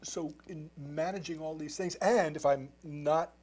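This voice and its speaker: A man's voice: on the high side, steady tone, a little slow, darkish tone, breathy edge. A man hosting a TV interview, asking long, rambling questions with many restarts. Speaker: TV program host. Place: television studio.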